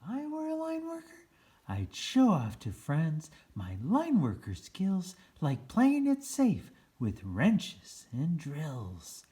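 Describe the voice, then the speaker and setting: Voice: medium pitch, huge pitch swings, slow, warm tone. A storyteller reading a children's picture book aloud in a theatrical, playful way.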